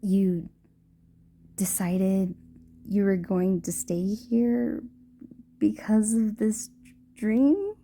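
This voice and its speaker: Casting: female actor for tender ghost girlfriend, very mellow and slow, shy playfulness, formal halting speech, intimate audio roleplay. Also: mid-range voice, slight background noise. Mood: touched, tender. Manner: soft, hesitant, formal wording with halting stammers.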